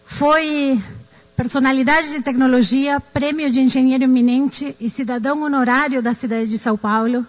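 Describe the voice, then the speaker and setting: A woman's voice: high-pitched, steady tone, normal speed. A woman from Argentina with an Argentine accent, a native Spanish speaker, introducing the next speaker on stage in a conversational way. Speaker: event host. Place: large auditorium stage.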